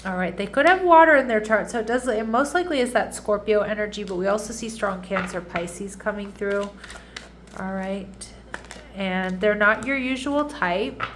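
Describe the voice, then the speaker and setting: A woman's voice: mid-range voice, moderate pace, slight background noise. A woman giving a casual tarot reading who sounds congested and nasal, chatting and often checking in with her audience. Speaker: tarot reader.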